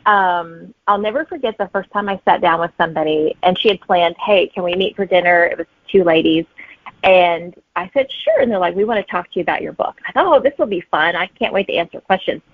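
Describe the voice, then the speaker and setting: A woman's voice: lowish voice, a little fast, dry recording. A woman guest chatting casually in an interview, telling anecdotes and acting out remembered remarks. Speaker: guest author. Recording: narrow, phone-like dry audio.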